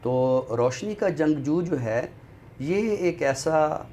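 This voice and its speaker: A man with a Pakistani accent discussing books in a deliberate, scholarly way, often pausing mid-sentence. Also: fairly high pitch, expressive, darkish tone. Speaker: literary show presenter. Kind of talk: book discussion in Urdu literary talk. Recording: noticeable background noise.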